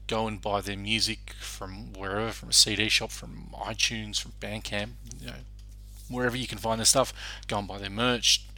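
Bright-voiced man hosting a music show. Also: lowish voice, little pitch movement, normal speed. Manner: chatty, with fillers and restarts. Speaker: radio show host. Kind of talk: casual music show presenting.

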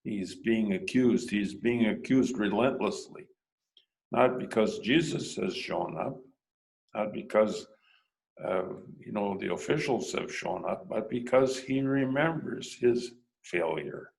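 Male preacher, unhurried and thoughtful, low voice with heavy vocal fry. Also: darkish tone, rough recording. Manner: thoughtful, prompts listeners with "hmm?" and "huh?". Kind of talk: reflective sermon lecture.

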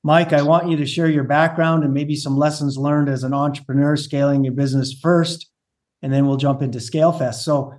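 Higher-pitched male podcast host. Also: fairly even intonation, brisk, a little mellow. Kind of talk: casual interview hosting.

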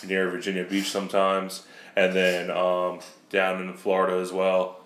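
New york guy, super deep silky bass, pretty flat and laid back, echoey room. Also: a little slow, a little hiss or hum. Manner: laid-back, brief replies. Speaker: podcast co-host. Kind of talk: casual chat.

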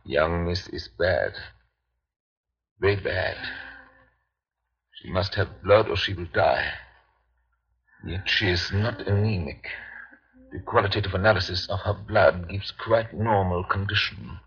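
An actor playing a grave, commanding professor of medicine, clipped and ominous, in a 1930s radio drama. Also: male voice, deep voice, measured pace, long pauses, fairly bright tone, slightly rough, full character voice. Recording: muffled old 1930s radio broadcast.

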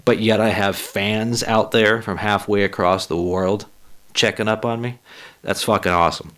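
A man hosting a solo podcast, a little hoarse, talking in a rambling, profane, self-deprecating way. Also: fairly low pitch, steady tone, normal speed, fairly bright tone. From Boston area. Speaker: podcast host and stand-up comic. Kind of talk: casual solo podcast monologue.

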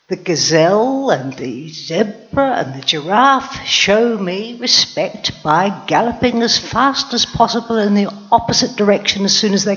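A woman narrating in character as a proud, wry lioness queen in a storybook tale, heard in a dull lo-fi recording. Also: medium pitch. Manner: wry, lively, drawn-out delivery.

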